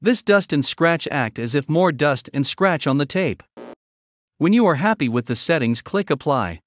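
A man narrating a step-by-step software tutorial in a plain, measured instructional manner. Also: fairly high pitch, animated, slight background noise.

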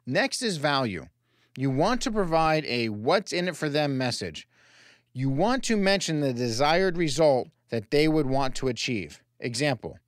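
A male sales coach narrates a scripted training lesson in a fairly high voice. His intonation moves up and down a lot as he speaks straight to the listener with emphasis. The sound is close and dry, like a studio voice-over. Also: sing-song, measured pace, no echo. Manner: emphatic, direct address with rhetorical questions. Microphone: close voice-over microphone.

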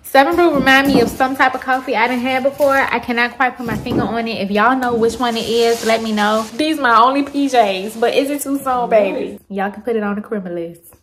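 A woman's voice: on the high side, a little fast, background noise. A woman in her thirties talking casually to her viewers, filming herself on a phone at arm's length. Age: 30s. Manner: chatty, addresses viewers as y'all. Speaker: vlogger. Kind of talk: casual vlog talk to camera. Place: outdoors at a fair and around town. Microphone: phone camera at arm's length. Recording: music playing behind the voice.

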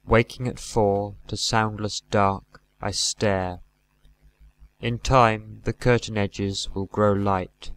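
Male poetry reader, lower-pitched, very slow and deliberate, phrasing in short measured units. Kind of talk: poetry recitation.

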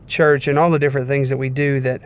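A young man giving an informal devotional sermon in an earnest, measured way, pausing between short phrases. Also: mid-range voice, flat delivery. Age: young adult. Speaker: preacher giving a devotional message. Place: inside a car. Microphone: phone at arm's length. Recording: clear background noise inside a car.

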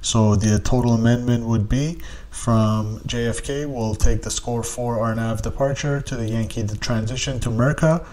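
A man's voice: medium pitch, steady tone, moderate pace, a little mellow. A man narrating a tutorial in a casual, explanatory way. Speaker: tutorial host.

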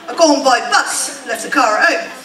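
Woman as a high-voiced daft comic builder, echoey stage. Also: normal speed, sharp tone. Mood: guileless. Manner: projected, guileless. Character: daft, cheerful comic builder, clown of a double act. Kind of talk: pantomime cross-talk comedy. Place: theatre stage. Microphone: distant camera in audience. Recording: echoey hall from far off, noisy.

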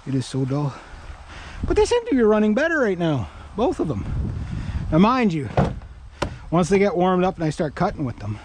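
A middle-aged man with a Canadian accent and a slightly high voice. He talks in a loose, rambling way, working through his thoughts out loud. It sounds like a casual vlog recorded outside on an action camera. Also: huge pitch swings, moderate pace, pauses often, slight background noise. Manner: rambling, thinking aloud. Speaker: vlogger. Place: outdoors in a grassy yard by a pickup truck. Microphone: action camera worn on body or held at arm's length.

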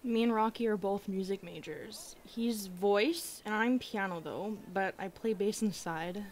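A woman's voice: mid-range voice, unhurried, darkish tone, slight background noise, close to an everyday voice. A woman playing a brash, teasing, sweary young deathrocker music student in a comic ASMR roleplay. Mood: playful. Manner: sweary, mocking.

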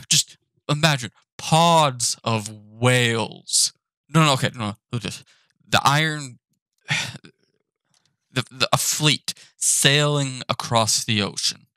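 A young man ranting profanely and with exasperation from his apartment, now and then breaking into shouts. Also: medium pitch, no echo. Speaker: animated channel host. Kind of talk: ranting commentary.

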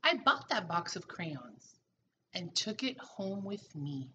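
Animated, bright-voiced woman reading to children. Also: fairly low pitch, highs cut off, a little hiss or hum. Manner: expressive, deliberate storytelling for young children. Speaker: pre-K teacher. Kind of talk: children's picture book read-aloud. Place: small room at home, indoors.

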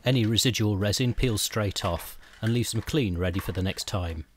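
A middle-aged man calmly narrating a scripted woodworking how-to, step by step, as the channel's host. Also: fairly low pitch, normal speed, a little hiss or hum. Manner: calm, methodical step-by-step explanation.

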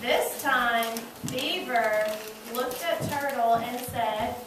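A woman teacher telling a children's story slowly and theatrically, with emphatic repetition and drawn-out words. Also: a little high. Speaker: teacher narrating a children's puppet show. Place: classroom, recorded from across the room. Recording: echoey classroom, distant camera mic, noisy.

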